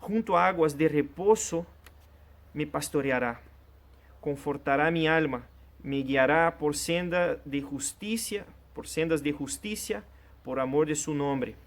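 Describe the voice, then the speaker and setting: Earnest pastor with a gravelly voice. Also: male voice, fairly high pitch. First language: Portuguese. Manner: earnest, direct address to the listener, slowing for emphasis. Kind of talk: sermon.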